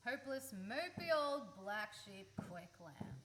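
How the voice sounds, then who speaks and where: A woman's voice: on the high side, sing-song, unhurried. A woman acting a feisty, stubborn but vulnerable young Australian in a radio play, blunt and insistent. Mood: defiant, scornful. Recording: noisy live radio play recording.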